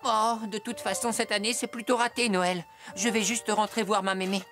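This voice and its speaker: A woman playing a good-hearted, hesitant little boy in a children's cartoon, expressive and exclamatory. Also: medium pitch, normal speed, soft background music, natural voice. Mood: downcast, resigned.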